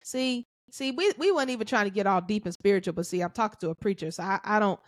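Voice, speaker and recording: A woman's voice: medium pitch, fast talker, no room sound. Brief: woman podcast host, reflective and affirming, dry close-mic sound. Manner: thinks aloud with restarts, affirming "that's right", "amen". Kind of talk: reflective faith-centered casual chat.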